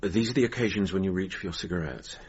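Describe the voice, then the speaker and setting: A man acting an earnest, easily exasperated hypnotherapist in a naturalistic radio drama, halting and repeating words when flustered. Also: sits low, natural voice. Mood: calm, soothing. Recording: dull lo-fi radio recording, audible noise.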